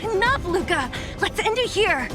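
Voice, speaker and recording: High-pitched young female referee. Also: sing-song, normal speed, over music. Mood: worried, urgent. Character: spirited, blunt young woman fight referee. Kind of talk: video game voice acting.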